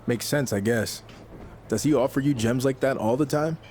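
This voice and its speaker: An actor playing a self-assured, understated young rookie wrestler in a video game cutscene. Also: male voice, medium pitch, moderate pace, darkish tone, a little hiss or hum, natural voice. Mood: agreeable.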